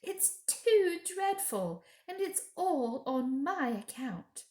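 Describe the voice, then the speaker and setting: A woman's voice: high-pitched, sing-song, slow, darkish tone, echoey room, voice pushed up, full character voice. A woman voicing an anxious, tender-hearted little girl in a children's story, in a pleading, questioning manner. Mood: distressed.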